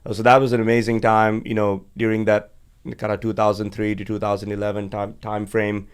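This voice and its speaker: A man in his forties, originally from Sri Lanka, speaks as a podcast guest in a low, steady register. His voice is smooth and resonant, with little rise and fall. He tells his story in a measured way, pausing on frequent fillers. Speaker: guest, energy executive. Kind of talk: conversational interview. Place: podcast studio.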